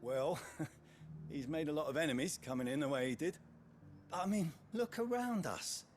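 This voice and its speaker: An actor playing a pragmatic, easygoing soldier in a fantasy game, colloquial and matter-of-fact. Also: male voice, a little high, normal speed, a little hiss or hum, natural voice. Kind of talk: scripted fantasy game acting.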